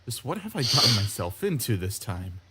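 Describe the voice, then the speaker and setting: A man's voice: medium pitch, big pitch swings, moderate pace, heavy background noise, lightly acted. A young man playing a wry, rational, exasperated male lead in a visual novel, narrating and talking over music. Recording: loud guitar music over the voice.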